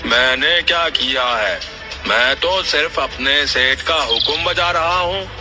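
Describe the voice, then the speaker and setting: A man's voice: fairly high pitch, slow. A man voicing a defensive, officious servant who talks back pushily in a moral story. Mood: defensive, indignant. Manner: indignant, pushy. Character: defensive, officious male servant guarding his master's interests. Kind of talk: dramatised Hindi moral story. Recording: loud music behind the voice.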